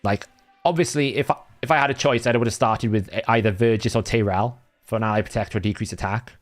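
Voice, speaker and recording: Welsh guy streaming a game, lowish voice, chatty, thinks out loud, bit of music behind him. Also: fast talker. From Wales. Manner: chatty, thinking aloud, self-correcting. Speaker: livestream host. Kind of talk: casual gameplay commentary.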